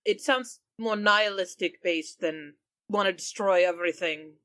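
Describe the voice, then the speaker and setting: A woman's voice: fairly low pitch, moderate pace, fairly bright tone, no echo, studio quality. A woman chatting casually as a player in a tabletop role-playing game.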